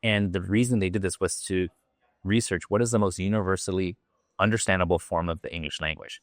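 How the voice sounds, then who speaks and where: Podcast guest: Japanese man, learned American accent, very low pitch, chatty, dry sound. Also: steady tone, brisk, no echo. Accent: American, learned from an acting coach. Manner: loose and talkative, fillers and restarts. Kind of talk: podcast interview.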